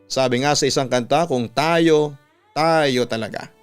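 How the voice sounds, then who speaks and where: Smooth Filipino male radio storyteller over music. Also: mid-range voice, measured pace. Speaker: radio announcer and storytelling host. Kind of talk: dramatic reading of listener letters and radio-style hosting. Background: instrumental music.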